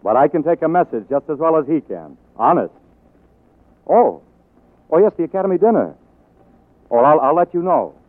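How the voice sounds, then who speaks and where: An American actor playing a charming, faltering film star husband in a 1940s radio drama, fond but wounded, with hesitant, halting speech. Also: male voice, a little high, very animated. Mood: polite, deflated. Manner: hesitant, halting, stammered repeats. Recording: muffled 1940s radio broadcast recording.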